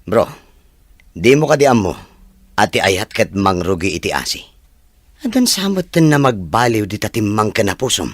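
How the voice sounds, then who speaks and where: Frank advising friend, Filipino accent, radio drama, husky, lively intonation, dry sound. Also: male voice, fairly low pitch, moderate pace, long pauses, no room sound.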